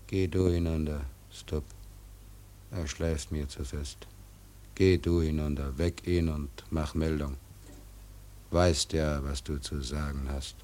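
An actor playing a brooding, tormented sailor in a radio drama, speaking in a grave, halting, deliberate manner. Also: male voice, rumbling low, fairly even intonation, dark tone. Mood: weary, subdued. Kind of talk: literary radio drama monologue.

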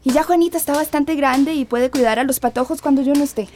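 Actress as high-voiced, earnest Central American teenage girl. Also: fast, slight background noise, close to an everyday voice. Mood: eager, coaxing. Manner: youthful, earnest, expressive. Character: naive, earnest teenage girl confiding in her mother. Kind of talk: radio drama acting.

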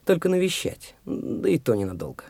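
Cocky, pushy young man for a radio drama; animated, close dry mic. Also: a little high, brisk, vocal fry, slightly rough, dry recording, full character voice. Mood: blunt. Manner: cocky, taunting, blunt. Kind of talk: studio radio play acting.

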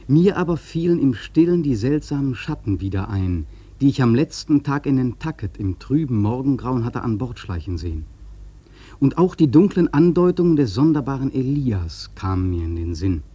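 Husky, animated sailor narrating. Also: male voice, mid-range voice, dry recording. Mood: brooding. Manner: intense, evocative storytelling. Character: awestruck young whaleman sailor recalling the hunt. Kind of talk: dramatic literary radio-play narration.

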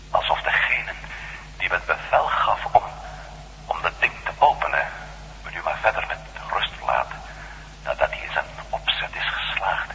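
A low male voice, a steady, determined adventurer in a radio drama. He speaks tersely and earnestly, and there is faint hiss on the old recording. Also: in a large hall, full character voice, low-quality recording. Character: determined male former astronaut turned reporter, dry and steady.

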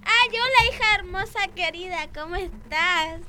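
A woman's voice: very high-pitched, normal speed. A woman playing a haughty, scolding rich mother and mistress of the house in an improvised theatre scene. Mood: doting. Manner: scolding, exclamatory. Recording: noisy amateur recording with clicks.

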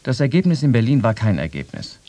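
A man's voice: mid-range voice, big pitch swings, fast, warm tone, dry recording, close to an everyday voice. A man playing a wry soldier-writer, reading a personal letter to a friend in a radio feature. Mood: wry, resigned. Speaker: quote reader in a radio feature.

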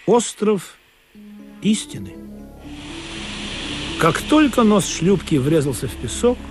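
A wistful sailor in a literary radio play, questioning and musing as he tells of his absurd voyage. His voice is fairly high and he takes his time over the words, with music underneath. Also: big pitch swings, exaggerated voice. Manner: deliberate, musing, storytelling.

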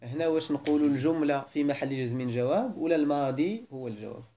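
A Moroccan teacher with a mellow, dark voice leads a grammar class, firing short questions at his students and correcting their answers. He slides between formal Arabic and his local dialect, with some words coming from farther off the mic. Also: a little high, expressive, fast. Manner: probing, repeatedly asks why and corrects.

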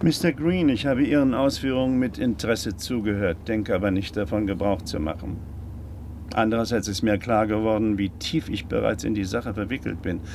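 An actor in a German radio drama playing a cautious, methodical handyman, formally worded and deliberate. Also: fairly low pitch, background noise, natural voice. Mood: firm, resolute. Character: Floyd, male handyman drawn into crime, cautious, methodical.

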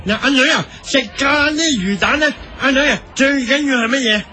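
A man's voice: very high voice, a little fast, pushed and bright. A man playing a gluttonous, self-satisfied food show host in a Hong Kong Cantonese comedy radio drama, explaining eagerly with a repetitive verbal tic. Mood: pompous explaining. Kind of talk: parody radio drama performance.

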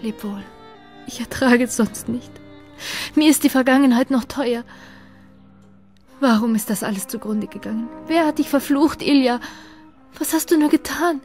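A woman's voice: a little high, a little mellow, natural voice. An actress in a radio play, playing an earnest, disillusioned young woman in love, intimate and searching in manner. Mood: grieving. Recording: close dry studio with faint music.

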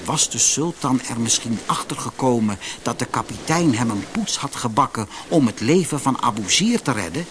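Animated male fairytale narrator. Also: mid-range voice, normal speed, few pauses, noisy background. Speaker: audiobook narrator. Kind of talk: expressive scripted fairytale narration.

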